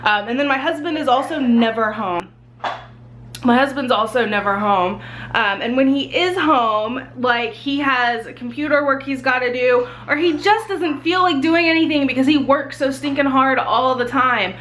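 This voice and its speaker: A woman's voice: sits high, moderate pace, fairly bright tone. A woman talking informally and candidly, as a mother vlogging about daily life in a casual, chatty style. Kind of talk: casual vlog chat.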